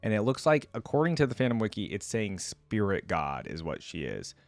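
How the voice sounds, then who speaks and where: A man's voice: lowish voice, huge pitch swings, brisk, vocal fry, no echo. A man doing casual reaction commentary and reading aloud, with an enthusiastic, slangy, chatty way of talking to viewers. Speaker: reaction video creator.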